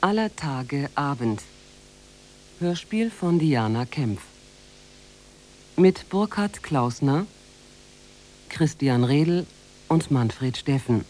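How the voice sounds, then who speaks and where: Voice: mid-range voice, expressive, very slow, pauses often, a little mellow, rough recording. A radio announcer reading formal closing credits for a radio play.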